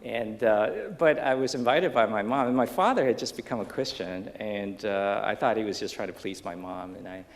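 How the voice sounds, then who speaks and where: Halting, gravelly man telling his story. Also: lowish voice. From Taiwan. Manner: halting, many restarts and fillers, self-deprecating humor. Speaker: business professor, panel guest. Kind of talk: personal story on a panel. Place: lecture stage under bright lights before an audience.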